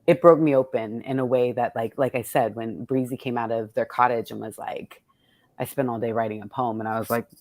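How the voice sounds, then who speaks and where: A woman's voice: very deep voice, fairly even intonation, fairly quick, darkish tone, dry recording. An American woman hosting a casual conversation among poets, talking in an effusive, slangy way with plenty of swearing. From born in Texas, United States. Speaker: host of a poets' table reading.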